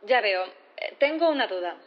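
An actress in an audio drama playing a composed prosecutor who questions formally, politely and methodically. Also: female voice, medium pitch, animated, normal speed, highs cut off, close to an everyday voice. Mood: measured, inquisitive.

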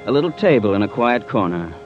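An actor playing a cool, wry investigator in an old-time radio drama, dry and unhurried. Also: male voice, lowish voice, sing-song. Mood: cool narration. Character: cool, wry male investigator narrating his own case. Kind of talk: 1940s radio acting, scripted first-person narration. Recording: muffled old radio recording with music.

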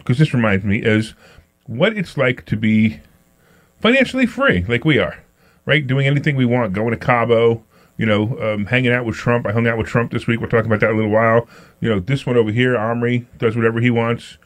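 A man's voice: mid-range voice, a little fast, no echo. A man hosting a financial talk radio show, joking and rambling, repeating himself as he goes. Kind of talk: casual talk radio banter. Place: radio studio.

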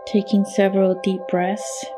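A woman leading a guided meditation in a soothing, measured way, with long pauses and a gravelly, creaky voice. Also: medium pitch, little pitch movement. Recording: meditation music with singing bowl behind. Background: meditation music, singing bowl tones.

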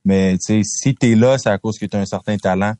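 Young Québécois man, low and dry. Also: a little fast, no echo. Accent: Québécois French. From Quebec. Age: young adult. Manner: relaxed, repeats words, many fillers. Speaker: football draft prospect guest. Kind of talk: casual interview answers.

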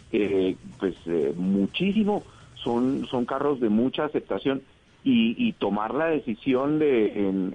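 A man hosting a radio talk show, speaking haltingly, repeating words, thanking and praising his guest. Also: mid-range voice, breathy edge, muffled recording.

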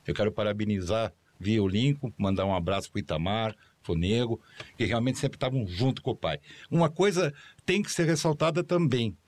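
A man speaks as a studio guest and recalls old times with animated fondness. His storytelling rises and falls with feeling as he chats at the table. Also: on the low side, fairly quick. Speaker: former producer, studio guest. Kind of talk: studio chat.

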